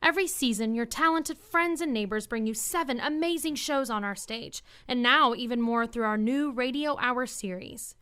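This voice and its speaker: A woman's voice: on the high side, moderate pace, few pauses, pushed and bright, smooth. A woman reading a scripted promotional announcement as a community theater spokeswoman.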